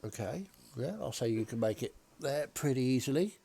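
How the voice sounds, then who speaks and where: A man's voice: mid-range voice, lively intonation, moderate pace, vocal fry, warm tone. A man running a tabletop game as dungeon master, dry and teasing as he calls turns and rolls.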